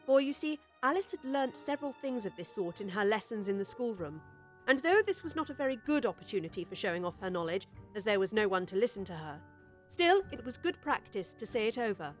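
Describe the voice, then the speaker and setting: A woman narrating a children's storybook audiobook with expressive, scripted storytelling. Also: medium pitch, fairly quick, soft background music.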